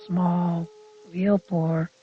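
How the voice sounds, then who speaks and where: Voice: medium pitch, flat delivery, drawn-out words. Older storyteller over music, muffled audio. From Canada. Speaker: interviewee recalling childhood. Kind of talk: personal oral-history storytelling. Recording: dull lo-fi audio under music.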